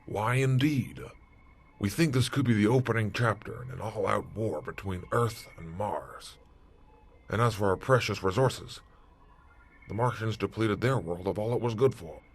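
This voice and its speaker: A man playing a blunt, callous military commander with a husky voice and clipped manner in a retro sci-fi radio drama. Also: lowish voice, moderate pace. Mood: grave. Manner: clipped, military, matter-of-fact. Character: blunt, callous male space-force commander.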